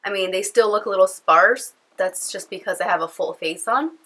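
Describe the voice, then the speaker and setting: Bright, nasal young woman vlogging. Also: medium pitch, moderate pace. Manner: chatty, rambling, lots of fillers. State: congested from a cold. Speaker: beauty vlogger. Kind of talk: casual chatty vlog. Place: at home indoors.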